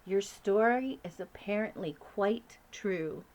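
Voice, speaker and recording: A woman voicing a commanding, brisk queen who speaks in a crisp, imperious way. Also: mid-range voice, a little mellow, lightly acted.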